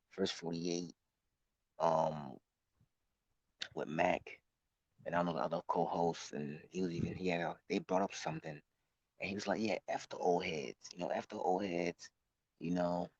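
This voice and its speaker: A man of about thirty giving casual, slangy street commentary in a halting, repetitive way, recorded outdoors. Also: deep voice, gravelly, breathy edge, dry recording. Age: late 20s, about thirty. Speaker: vlog host. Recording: muffled, noisy outdoor recording with clicks.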